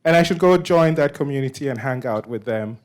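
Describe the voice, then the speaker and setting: A man from Nigeria presents informally as the host of a meetup. His delivery is halting, and he often repeats words and restarts phrases as he explains. His voice sits at a medium pitch. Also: animated. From Nigeria, West Africa.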